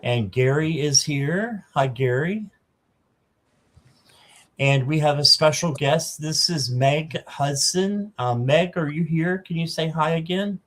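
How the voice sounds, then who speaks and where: A man in his fifties hosting a casual livestream, greeting viewers by name and filling pauses with ums. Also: a little high, fairly bright tone. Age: 50s. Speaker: livestream host.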